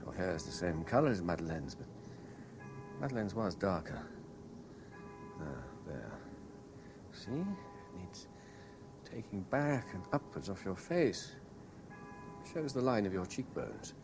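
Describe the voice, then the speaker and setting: A man's voice: low voice, very animated, a little slow, pauses often, music in the background, exaggerated voice. An actor in a 1970s radio drama playing an obsessed, domineering man haunted by a lost love, intense and insistent. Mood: fussy, controlling. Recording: noisy vintage radio broadcast recording.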